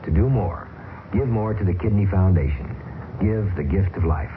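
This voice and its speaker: A man reading a charity appeal on the radio, earnest and steady. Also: sits low, moderate pace. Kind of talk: scripted radio public service announcement. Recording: tinny, hissy old radio broadcast.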